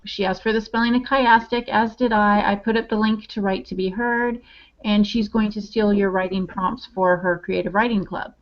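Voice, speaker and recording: A woman's voice: medium pitch, fairly even intonation, normal speed. A woman co-hosting a podcast, chatting casually as she relays comments and tips. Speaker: podcast co-host relaying chat-room comments. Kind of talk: casual chat. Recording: dull lo-fi online-call audio.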